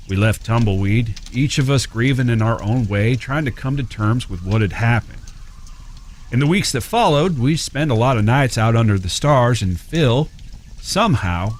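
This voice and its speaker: A man's voice: mid-range voice, close to an everyday voice. Wry cowboy storyteller over background noise man acting. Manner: folksy, wry, teasing. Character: wry, easygoing outlaw brother telling his own western tale. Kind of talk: first-person storytelling in western audio drama.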